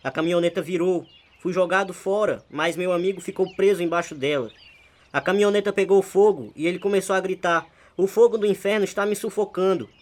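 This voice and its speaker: Male actor as troubled hitchhiker, high voice, humble, polite, earnest, radio drama. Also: fairly quick, lightly acted. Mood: haunted, earnest.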